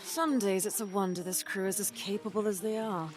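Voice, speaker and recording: A woman's voice: medium pitch, steady tone, a little fast, soft background music, lightly acted. A woman playing a commanding, wry pirate captain in an audio drama.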